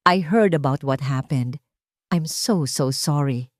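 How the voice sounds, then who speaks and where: A woman's voice: low-pitched, slow pace, no echo, studio quality. A woman voicing a treacherous male business rival in an audio drama, smug and oily. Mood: fake sympathy. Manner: oily, mocking.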